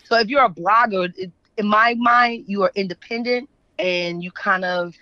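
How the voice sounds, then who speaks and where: A woman's voice: mid-range voice, moderate pace, sharp tone, no room sound, lo-fi sound. An American woman speaking as an interview guest, casual and opinionated, often checking in with the listener. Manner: opinionated, frequent checking tags like "right?" and "you know what I'm saying". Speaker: guest, author and journalist. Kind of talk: casual conversational interview talk.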